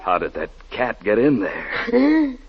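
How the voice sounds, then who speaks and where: An actor playing a plain-spoken, dutiful police sergeant in a 1940s radio drama. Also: male voice, lowish voice, huge pitch swings, slow, exaggerated voice. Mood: grim. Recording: muffled, noisy old radio recording.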